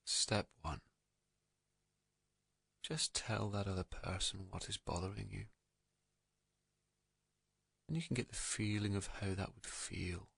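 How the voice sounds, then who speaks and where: A man narrating a guided meditation in a calm, gentle, soothing manner, leaving unhurried pauses between soft phrases. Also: on the low side, vocal fry, husky, dry recording.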